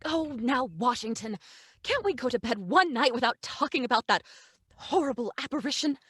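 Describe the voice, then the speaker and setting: A woman's voice: high voice, expressive, moderate pace, fairly bright tone, breathy edge, no echo, studio quality, exaggerated voice. A woman playing a genteel, worried mother who fusses and placates in an old-time radio drama. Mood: fretful. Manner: fretful, genteel. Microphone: studio microphone on a video call.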